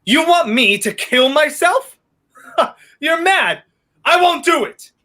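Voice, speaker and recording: A man's voice: extremely high pitch, lively intonation, slow, bright, forceful tone, exaggerated voice. A young man playing a debonair, wry fugitive in a 1930s radio play, with a clipped theatrical delivery. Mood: alarmed, defiant. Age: young adult. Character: debonair, wry wrongly accused man on the run.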